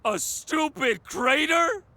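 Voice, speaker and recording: A man's voice: extremely high pitch, lively intonation, takes it slowly, bright, forceful tone, full character voice. A man playing a brash, self-important spaceship captain in a comedic sci-fi play, theatrical, commanding and easily exasperated. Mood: outraged.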